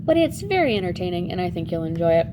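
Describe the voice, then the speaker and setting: A woman's voice: lowish voice, very animated, quick pace, background noise. A woman retelling a fairy tale for a lesson in a casual, slangy, joking way with wry asides. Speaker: teacher, storyteller.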